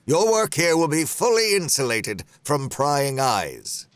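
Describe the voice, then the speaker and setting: A commanding corporate boss. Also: male voice, a little high, very animated, slow, clear, resonant tone, no room sound. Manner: controlling, insistent. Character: smooth commanding male pharma executive. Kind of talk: audio drama acting.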